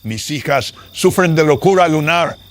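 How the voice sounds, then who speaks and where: A man's voice: on the high side, lively intonation, measured pace, voice pushed up. A man playing a grim, secretive father in a horror radio drama, solemn and theatrical. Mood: grave. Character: grim, secretive father guarding a terrible house.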